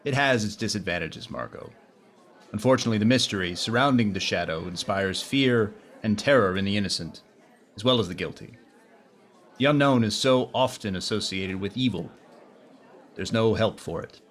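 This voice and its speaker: Casting: male actor as composed gentleman sleuth, lowish voice, grave radio-drama delivery. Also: normal speed. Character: grave, composed gentleman secretly a mysterious crime fighter. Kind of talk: old-time radio drama acting.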